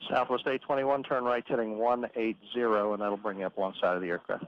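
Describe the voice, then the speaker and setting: A man working as an air traffic controller, giving brisk, procedural instructions in radio phraseology with filler pauses. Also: on the low side, fairly even intonation. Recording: muffled radio transmission with hiss.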